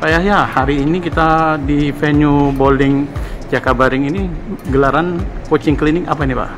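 A man's voice: a little high, fairly even intonation, moderate pace, music in the background. A male reporter asking casual, prompting questions during an interview.

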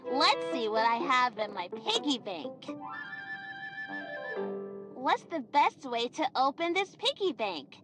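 A bossy little girl hosting a cartoon children's game show. The voice is high and very lively, slow and singsong, with a sarcastic edge. Music plays behind it. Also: heavily acted. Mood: bright, excited.

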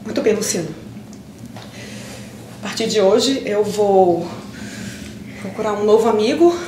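A young actress with a Brazilian accent playing an emotional woman pleading with a long-lost friend in improvised theatre, speaking haltingly with pauses. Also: mid-range voice, close to an everyday voice. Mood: hurt, indignant. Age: young adult. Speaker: stage actress. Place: indoor theatre stage. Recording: roomy, distant, noisy stage recording.

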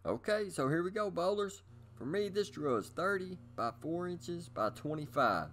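A man's voice: high-pitched, animated, normal speed, a bit bright. A middle-aged man giving scripted instructional narration in an upbeat presenter manner, speaking straight to his viewers. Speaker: host of a bowling instruction video.

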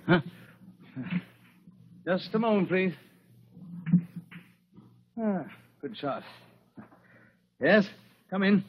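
A man's voice: high-pitched, lively intonation, extremely slow, long pauses, slightly rough, heavily acted. An actor playing a cold, self-assured, supercilious wealthy young man in a 1940s radio drama, clipped and polite. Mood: brisk, polite. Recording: dull old radio broadcast.

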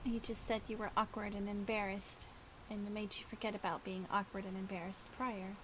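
A woman's voice: mid-range voice, flat delivery, brisk, fairly bright tone, lightly acted, poor audio. Woman playing patient, reassuring friend in the rain. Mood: puzzled. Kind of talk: improvised online roleplay in a fantasy game. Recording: clear rain noise behind voice.

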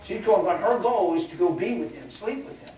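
An older man preaching a sermon in a folksy, animated way, swinging between loud and hushed, in a large indoor arena hall, picked up from far off by the camera. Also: sits high, fairly quick. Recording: echoey hall from far off, ambient noise.

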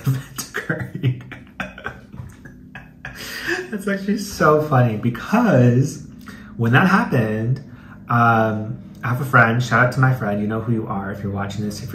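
A young American man hosting a comedic video, reading aloud and commenting in a chatty, slangy, playfully dramatic way. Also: mid-range voice. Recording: echoey room with some background noise.

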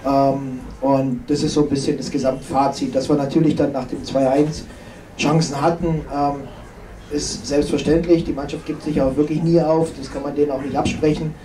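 Mellow, even-toned male coach, sober and halting. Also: on the high side. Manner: sober, halting, self-critical. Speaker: ice hockey coach. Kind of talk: post-game press conference analysis. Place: indoor press conference room. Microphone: handheld microphone. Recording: echoey room, distant, faint noise.

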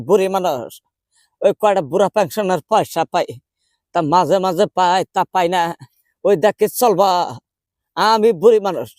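A man's voice: high voice, a little mellow, no room sound, studio quality, close to an everyday voice. A man voicing a poor, complaining, argumentative old village grandmother in a rural Bangladeshi dialect for a comic prank call. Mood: pleading, grumbling.

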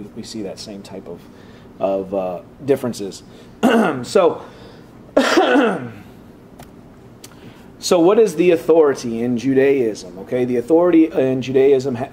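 A man opening a church class with a halting prayer and then teaching, his voice slightly raspy from preaching. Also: medium pitch, a little mellow, a little hiss or hum. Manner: halting, many "uh" fillers and restarts.

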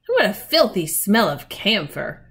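A woman's voice: mid-range voice, sing-song, measured pace, fairly bright tone, breathy edge, heavily acted. A woman voicing a sulky, spiteful younger schoolboy in a storybook, grumbling in old-fashioned phrases.

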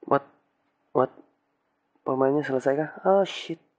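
A man's voice: mid-range voice, unhurried, soft background music. A young man with a stuffy nose from a cold, giving casual, slangy game commentary with English exclamations. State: has a cold. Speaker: gaming channel host.

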